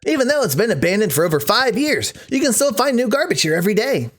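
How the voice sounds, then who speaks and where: A man's voice: high-pitched, sing-song, fast, fairly bright tone, voice pushed up, full character voice. A man voicing a cheerful, casual janitor in a visual novel, chatty and evasive in a breezy way. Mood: amused, evasive.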